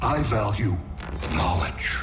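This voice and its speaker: A cold alien conqueror of machine intellect speaks in a low, echoing voice. Each phrase is formal, clinical and imperious, as in a game cutscene, with music underneath. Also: male voice, sing-song. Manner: measured, formal, clinical diction. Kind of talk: video game cutscene acting.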